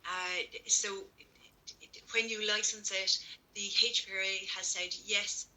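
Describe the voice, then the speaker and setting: Bright, enthusiastic woman. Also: mid-range voice, fairly even intonation, slow pace, poor audio. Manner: enthusiastic, many fillers and restarts. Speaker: herbal products guest. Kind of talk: casual live chat.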